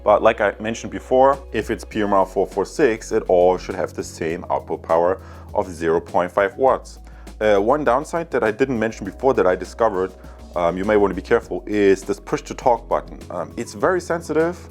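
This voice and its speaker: A man's voice: on the low side, expressive, brisk, faint music behind. A man from Europe giving a casual tech product review in a hesitant, rambling way.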